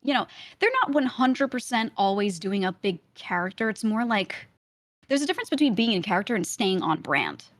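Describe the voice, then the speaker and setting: A woman's voice: medium pitch, animated, fairly quick, dry recording. Chatty woman with a light Brooklyn accent. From Brooklyn, New York. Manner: chatty, laughs often, many "like" and "you know" fillers. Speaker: podcast guest. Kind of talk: casual podcast chat.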